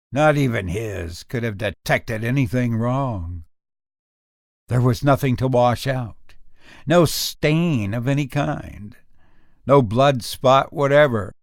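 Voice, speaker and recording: Nervous, gloating murderer played by a male reader for gothic horror audio; slow, theatrical delivery, close dry sound. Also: mid-range voice, creaky, no echo. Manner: intense, deliberate, emphatic, theatrical. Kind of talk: dramatic gothic horror audio story reading.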